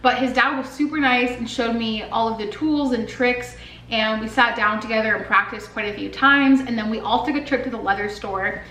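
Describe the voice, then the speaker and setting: A young woman in her twenties talks in a casual, upbeat show-and-tell style and fills her sentences with "like." Her voice sits a little high. The room around her rings with echo over a faint hiss. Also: fairly even intonation, moderate pace, bright, forceful tone. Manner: chatty, upbeat, lots of "like" fillers. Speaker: lifestyle vlogger and artist.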